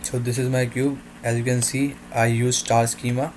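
A man narrating a software demo in halting, non-native English phrasing. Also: mid-range voice, little pitch movement, background noise.

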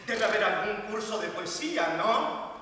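Exasperated, shrill mother on an echoey stage. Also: expressive, a little slow, pushed and bright, exaggerated voice. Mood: impatient, scolding. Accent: Argentine. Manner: theatrical, exasperated, emphatic. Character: exasperated, sharp-tongued mother of a little girl. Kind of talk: comic stage play. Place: theatre stage before an audience. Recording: echoey theatre hall, distant, faint noise.

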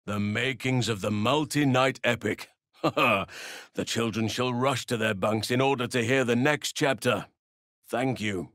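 An actor playing a gentle, earnest druid and guardian of children in a fantasy game, speaking in formal, measured, courtly phrases. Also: male voice, mid-range voice, no room sound, clean studio sound.

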